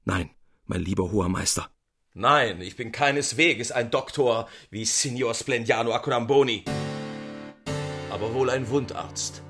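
A man's voice: medium pitch, unhurried, a bit bright, husky, soft background music. A man reading the part of an earnest, adoring young surgeon in an audiobook, fervent and formally spoken. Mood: grateful, devoted. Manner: fervent, reverent, formal old-fashioned speech.

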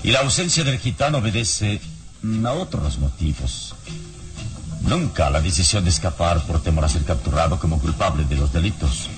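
A man acting a calm heroic detective in an old Mexican radio serial, speaking in a measured, formal, commanding way. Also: low voice, music in the background. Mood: confident, commanding. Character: calm, deep-voiced heroic detective adventurer.

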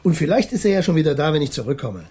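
A man playing an exasperated, absent-minded middle-aged father of two in a German radio play, with a husky voice. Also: fairly high pitch, very animated, rapid-fire, a little mellow, heavily acted. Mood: regretful, subdued.

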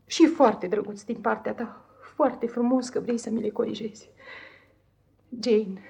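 An actress playing a meek, anxious, affectionate young wife in a radio play, pleading and hesitant in an apologetic way. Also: female voice, on the high side, fairly even intonation, gravelly, dark tone, husky, heavily acted. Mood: submissive apology. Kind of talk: 1950s radio theatre acting.

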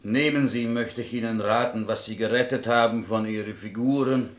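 A man's voice: mid-range voice, normal speed, natural voice. An actor playing a polite, anxious, mild-mannered man with an Eastern European accent in a radio drama, speaking formal German with inverted word order. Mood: courteous, reproachful. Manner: courteous, formal, inverted sentence order, questioning.

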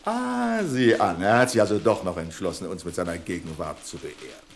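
An actor playing a pedantic, sarcastic office boss in a German radio drama, with pompous, exaggeratedly formal politeness. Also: male voice, low-pitched, animated, moderate pace, creaky, slight background noise. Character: pedantic, sarcastic male office boss, nagging about punctuality.

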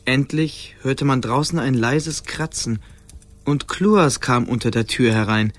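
A man's voice: medium pitch, unhurried. A man narrating a radio play as a scripted storyteller.

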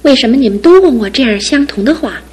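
A devoted young fiancée, gentle yet unshakable in a studio radio play. Her voice is very breathy and husky, pitched a little high, and she presses her point with soft, earnest insistence. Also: expressive, quick pace, exaggerated voice. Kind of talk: studio radio drama acting.

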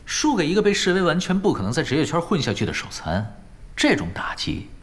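An actor in a Chinese audio drama playing a laid-back veteran pro gamer, teasing and persuasive in an unhurried way. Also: male voice, a little high, sing-song. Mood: probing, calm. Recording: background music under the dialogue.

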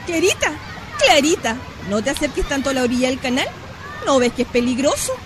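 A worried Chilean shantytown mother, played by an actress in a radio drama. She speaks colloquially in a fairly high, lively, swooping voice, protective of her own. Clear ambient noise runs behind her. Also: a little fast, a crowd in the background. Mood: worried, scolding. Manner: colloquial, expressive.

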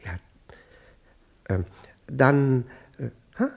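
Flustered, stammering stout husband, husky. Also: male voice, medium pitch, extremely slow, full character voice. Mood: embarrassed. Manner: stammering, fussy, repeats words. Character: nervous, flustered, indignant stout middle-aged husband. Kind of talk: 1960s German radio play acting.

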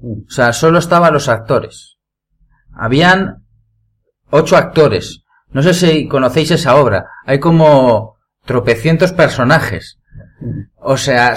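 A man's voice: fairly high pitch, a bit bright. A man with an accent from Spain, taking part in a casual podcast conversation as a panelist. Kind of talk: casual chat.

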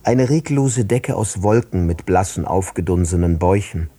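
A man giving a scripted narration of literary prose, measured and delivered phrase by phrase. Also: low-pitched, animated, warm tone, husky, dry recording.

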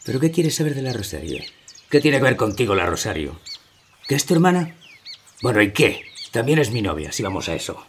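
An actor with a Spanish accent playing a coarse, taunting, short-tempered rural tough in a radio drama. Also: male voice, mid-range voice, very animated, a little fast, slight background noise, lightly acted. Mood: taunting, defiant. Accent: Spanish, from Spain. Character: sneering rural Spanish tough, provoking and irascible.

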